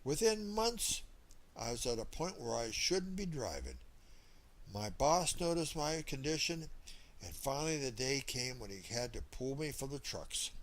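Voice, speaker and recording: A man's voice: medium pitch, sing-song, unhurried. A man narrating a first-person memoir as an audiobook reader, telling the story from a script.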